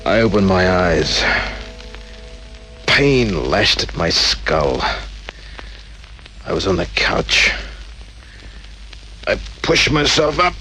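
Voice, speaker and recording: A man's voice: low voice, big pitch swings, takes it slowly, long pauses, bright, forceful tone, exaggerated voice, poor audio. An actor playing a tough, wry male private detective in an old-time radio crime drama, narrating and talking in terse hard-boiled phrases. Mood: groggy, pained. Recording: old radio recording, faint music behind.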